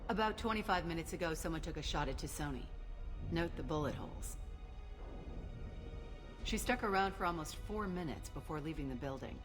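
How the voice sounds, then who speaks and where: A woman's voice: low-pitched, lively intonation, brisk. An actress playing a brash, self-assured female alien special agent in a video game, sardonic, dry and commanding. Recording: music playing behind the voice. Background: game soundtrack music.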